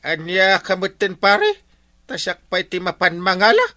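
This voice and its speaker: An actor with an Ilocano accent playing a hapless, forgetful, henpecked village captain in a radio comedy drama, whining and sputtering. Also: male voice, sits high, unhurried, sharp tone, no room sound, studio quality. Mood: exasperated.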